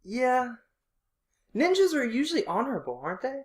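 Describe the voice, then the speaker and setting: A man's voice: very high-pitched, huge pitch swings, normal speed. Easygoing podcast co-host guy. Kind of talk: casual chat.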